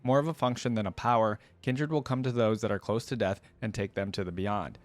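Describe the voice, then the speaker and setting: A man's voice: on the low side, a little fast. A man giving casual reaction commentary, reading lore aloud and adding curious, informal asides as he goes. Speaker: reaction video creator.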